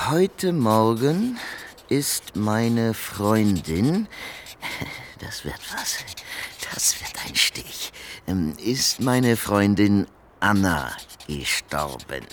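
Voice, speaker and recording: An actor playing a talkative, sly homeless beggar in a radio crime drama, speaking colloquially and theatrically. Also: male voice, fairly low pitch, a little hiss or hum, exaggerated voice. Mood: rehearsed pleading.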